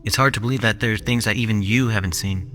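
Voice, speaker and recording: A man's voice: on the low side, fast, fairly bright tone, natural voice. A man playing a jittery, cautious, fussy companion in a sci-fi audio drama. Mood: awed. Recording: music under the voice.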